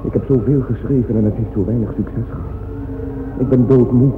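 An actor playing a despairing, exhausted composer in a radio drama, imploring with anguish. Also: male voice, medium pitch, steady tone, a little fast, background noise, lightly acted. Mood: desperate. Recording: echoing, phone-like old radio recording. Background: music.

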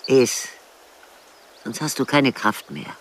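An actress playing a plainspoken, wry old village woman in a radio drama, with a deep, often hushed and breathy voice. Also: very animated, slow pace, a little hiss or hum, heavily acted. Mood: blunt, caring. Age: older. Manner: matter-of-fact, dryly blunt. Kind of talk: radio drama first-person narration and dialogue.

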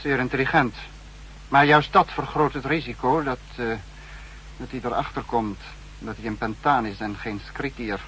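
An actor playing a calculating spy chief, speaking with measured, superior authority in a 1960s radio drama. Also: male voice, mid-range voice, steady tone, a bit bright, natural voice. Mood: pondering, grave. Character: calculating, authoritative male spymaster, head of secret service. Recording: faintly noisy 1960s radio recording.

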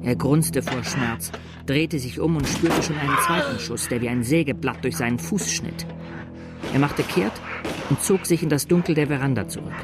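A sober chronicler played by a man tells a violent tale in a radio drama. His mid-pitched voice is measured and literary. Music runs clearly behind it. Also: close to an everyday voice. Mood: grave, measured. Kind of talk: scripted third-person radio drama narration.

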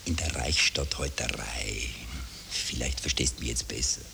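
An actor in a 1960s radio drama playing an uneasy soldier confiding and wheedling in an Austrian accent. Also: male voice, extremely low pitch, normal speed, creaky, pushed and bright, exaggerated voice. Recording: very hissy, clicking old tape.

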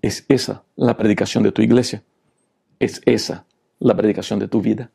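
Gravelly pastor. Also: male voice, lowish voice, steady tone, normal speed, warm tone. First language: Portuguese. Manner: preachy, repeated rhetorical questions. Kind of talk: Bible lesson commentary.

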